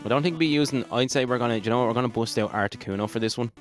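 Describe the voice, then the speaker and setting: A man co-hosting a role-play podcast, bantering casually and excitably, swearing and repeating himself for emphasis. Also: medium pitch, very fast. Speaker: podcast co-host and player in an audio role-play. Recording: music bed clearly under voice.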